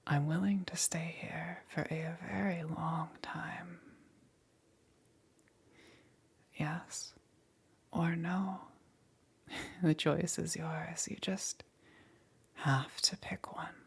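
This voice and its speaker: A menacing demon queen, played by a woman for ASMR roleplay, speaking in a very breathy, whispered voice with a gravelly creak. She takes her time with every phrase, extremely slow and coaxing, teasing and commanding at once. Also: low-pitched, steady tone, full character voice.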